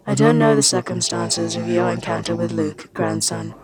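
A woman voicing a weary masked male warlord who talks through a vocoder, formal, measured and quiet. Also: rumbling low, vocal fry, crowd noise, voice pushed down, exaggerated voice. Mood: grave. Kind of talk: fan fiction audiobook reading.

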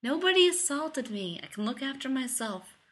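A woman's voice: sits high, very animated, normal speed, fairly bright tone, pitched above the performer's own voice. A woman voicing a casual, unruffled male fugitive with a dry, teasing manner, in a story reading. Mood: calm reassurance. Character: laid-back, teasing adult male fugitive driver.

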